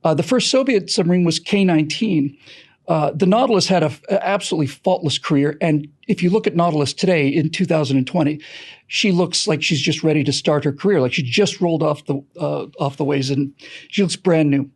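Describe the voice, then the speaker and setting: A man telling history with strong opinions in an interview, explaining emphatically and repeating key phrases deliberately. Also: fairly high pitch, darkish tone, husky. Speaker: podcast creator being interviewed.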